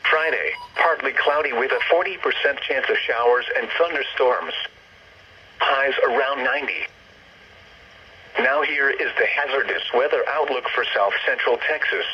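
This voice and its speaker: A synthetic man's voice reading a scripted weather bulletin over the radio, methodically and without emotion. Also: medium pitch. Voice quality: synthetic text-to-speech voice. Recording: muffled, noisy weather radio broadcast.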